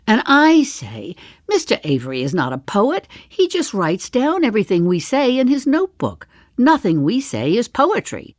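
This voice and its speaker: An older actress playing a wry, chatty seventy-five-year-old woman in a darkly comic stage monologue, musing to the listener with deliberate pauses. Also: on the high side, huge pitch swings, no echo, studio quality. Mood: dryly amused. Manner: deliberate, dramatic pauses, dropping to confiding asides. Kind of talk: theatrical monologue, storytelling to the listener.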